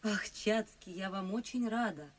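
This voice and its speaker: A woman's voice: mid-range voice, measured pace, natural voice. An actress playing a romantic, proud, guarded young lady of seventeen in a verse radio play, with refined theatrical delivery. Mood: polite, guarded. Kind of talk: classic verse stage acting for radio. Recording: music under the voice. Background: music.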